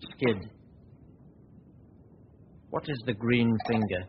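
An actor playing an urbane, sardonic amateur detective in a 1940s radio drama. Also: male voice, mid-range voice, extremely slow. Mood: pressing. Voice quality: ironic, urbane. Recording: muffled old radio recording with faint hiss.

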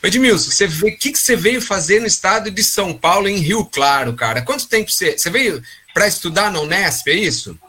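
A male host puts questions to his guest in a loose, informal way. His voice is bright and fairly high, and it swings up and down a lot. There is a husky edge to it. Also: lively intonation, fairly quick, few pauses. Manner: informal, addresses guest as "cara". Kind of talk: interview questions.

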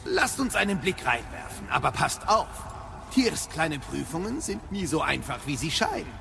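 A man playing an excitable, wary old sage and guide in a German game audio drama, with an animated, dramatic delivery. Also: fairly high pitch, normal speed, creaky. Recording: loud music under the voice.

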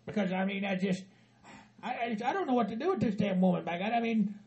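Brief: frantic cussing hillbilly geezer, very high and gravelly, Southern hillbilly drawl, rambling comedy-sketch energy. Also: male voice, animated, fast talker, muffled recording, slight background noise. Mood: rambling upset. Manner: rambling, stammering, laughs through his words, bursts into yelling. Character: frantic aging hillbilly husband, loud and cussing.

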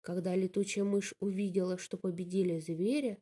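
A woman with a slightly husky voice, telling a fairy tale aloud as an expressive storyteller. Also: fairly low pitch, moderate pace.

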